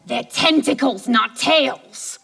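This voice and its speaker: Woman as a high, sharp, pedantic glitching assistant. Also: takes it slowly, creaky, husky, heavily acted. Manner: pedantic, looping, insistent. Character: glitching female assistant obsessively reciting movie trivia. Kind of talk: comic audio drama.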